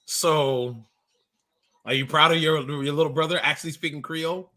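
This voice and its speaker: A man with a bright, sharp voice, co-hosting a laid-back basketball show. He jokes constantly and drops playful slang into his banter. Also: a little high, steady tone, moderate pace. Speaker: co-host. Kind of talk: casual podcast banter.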